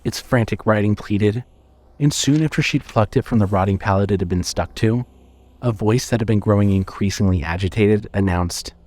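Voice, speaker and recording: A man's voice: lowish voice, moderate pace, darkish tone. A man narrating a scripted horror story as the narrator of an audio drama.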